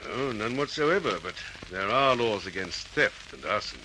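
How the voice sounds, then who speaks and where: An actor playing a brisk, authoritative police detective in an old radio drama. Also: male voice, fairly low pitch, lively intonation, lightly acted. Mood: pressing. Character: persistent, firm male police detective interrogating suspect. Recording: old radio recording with hiss.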